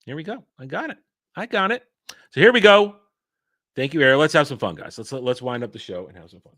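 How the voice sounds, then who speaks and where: Excitable rambling male streamer. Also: a little high, a little fast, dry recording, clean studio sound. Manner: rambling, excitable, restarts often. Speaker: livestream host. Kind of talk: casual livestream chat.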